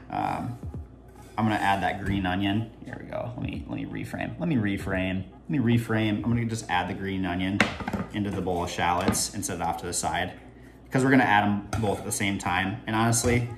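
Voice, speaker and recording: Chatty young man cooking at home. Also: on the low side. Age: young adult. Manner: chatty, rambling, playful asides. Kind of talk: casual cooking vlog chat. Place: home kitchen, indoors. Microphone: camera on the counter, moving around the kitchen. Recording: clear background music under the voice.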